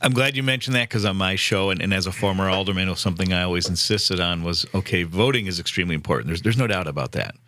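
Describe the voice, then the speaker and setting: A man's voice: deep voice, fast, hardly any pauses, bright, forceful tone, a little hiss or hum. A man in his fifties hosting a casual, earnest conversation, with restarts along the way. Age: 50s. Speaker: host.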